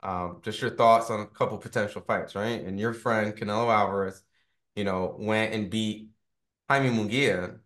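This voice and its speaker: A man's voice: on the low side, steady tone, moderate pace, a bit bright. A man interviewing a boxer in a casual way, full of fillers and frequent "right?" tags. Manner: chatty, filler-heavy, keeps asking "right?".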